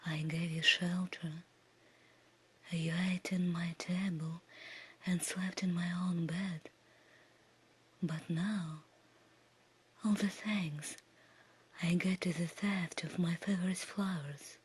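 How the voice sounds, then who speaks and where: A stern storybook beast played by a woman speaks in a slow, breathy whisper. The threatening creature commands in hushed, measured phrases, in an ASMR bedtime reading. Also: on the low side, steady tone, exaggerated voice. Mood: angry, accusing.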